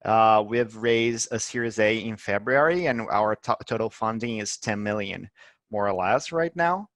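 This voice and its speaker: A man's voice: fairly low pitch, moderate pace, a bit bright, no echo. Brazilian man, eager, searching for words. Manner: eager, repeats words while finding phrasing. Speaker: guest, head of marketing. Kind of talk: business interview.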